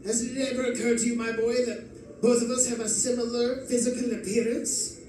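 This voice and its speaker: Very high-pitched, gloating criminal mastermind. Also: male voice, flat delivery, fairly quick, warm tone. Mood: smug, scheming. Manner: theatrical, condescending, chuckling, florid. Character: gleeful, erudite, scheming male criminal mastermind in prison. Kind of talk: old-time radio drama acting. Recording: distant, very echoey live stage recording, faint noise.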